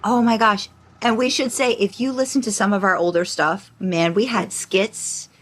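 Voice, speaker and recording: A woman's voice: mid-range voice, fairly even intonation, moderate pace. A woman co-hosting a casual, joking fan podcast, chatting conversationally and repeating herself for emphasis. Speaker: podcast co-host.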